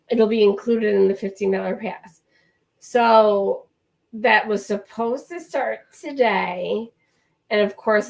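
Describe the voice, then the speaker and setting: A woman co-hosting a casual podcast chat, talking quickly with laughing asides while she is losing her voice. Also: medium pitch, gravelly. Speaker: podcast co-host.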